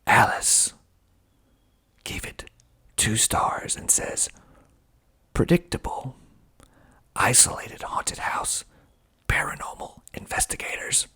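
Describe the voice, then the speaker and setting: A man speaking in a breathy, husky ASMR whisper, reading aloud and adding deliberate, dry asides. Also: medium pitch, animated, sharp tone. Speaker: filmmaker hosting his own video.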